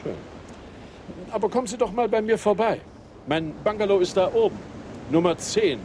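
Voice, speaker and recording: A chatty widower tourist. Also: male voice, high-pitched, normal speed, slight background noise. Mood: friendly. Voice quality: talkative, friendly, lively. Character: chatty middle-aged American widower tourist. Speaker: hotel guest. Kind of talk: 1980s radio play acting.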